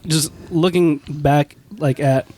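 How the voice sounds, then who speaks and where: A man from Washington State talks as a guest on a podcast panel. He is measured and hesitant, and often starts his sentences over. His pitch stays flat and even. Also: a little hiss or hum. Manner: measured, hesitant, many restarts and "you know". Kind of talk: casual panel chat.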